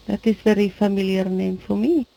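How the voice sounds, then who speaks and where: A woman roleplaying in an online game, giving slow, soft, sparse replies. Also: medium pitch, fairly even intonation, creaky, crowd noise, low-quality recording. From Finland. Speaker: roleplay player.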